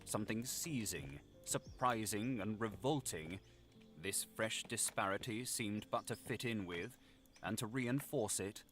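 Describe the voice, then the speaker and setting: A man reading a literary story as an audiobook narrator, in a measured, formal and dramatic delivery. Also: a bit bright, soft background music. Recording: noisy, with vehicle rumble over it. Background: construction vehicle game sounds.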